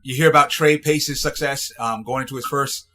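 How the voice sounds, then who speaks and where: A man speaking as a coach in a casual phone chat, advising in a halting way with repeated words. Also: medium pitch, fairly even intonation, pushed and bright. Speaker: coach, interviewer. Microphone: phone call.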